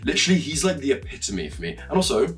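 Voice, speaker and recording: Lively fast-talking young man. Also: mid-range voice, recorded from a distance, soft background music. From Norway. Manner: chatty and animated, swearing and laughing while explaining. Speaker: makeup vlogger. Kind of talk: casual tutorial chat.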